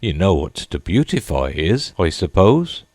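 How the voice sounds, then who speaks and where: A man voicing a gruff, impatient male Gryphon who scolds in a rough dialect. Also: low voice, very animated, measured pace, a little mellow, no room sound, full character voice. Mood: brusque. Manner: brusque, scolding.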